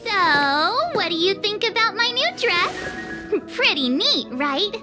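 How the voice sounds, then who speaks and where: A woman's voice: very high voice, slow, exaggerated voice. A woman voicing a chatty, cheerful, teasing teenage girl traveler in a video game cutscene. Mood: playful, teasing. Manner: chatty, expressive, playful. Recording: game music behind the voice.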